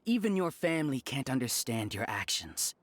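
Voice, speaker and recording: An actor playing a smooth-talking, manipulative schemer in a video game, silky and persuasive. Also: male voice, medium pitch, very animated, normal speed, no echo.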